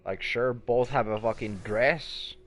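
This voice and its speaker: A man's voice: medium pitch, takes it slowly, fairly bright tone, faint music behind. A young man giving casual live gaming commentary, rambling along and swearing casually. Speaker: game streamer.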